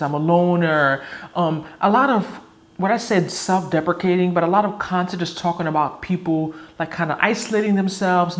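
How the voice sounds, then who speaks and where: A woman in her early thirties talking frankly to camera in a casual personal vlog, reflective and candid. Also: sits low. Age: 30s. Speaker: vlogger.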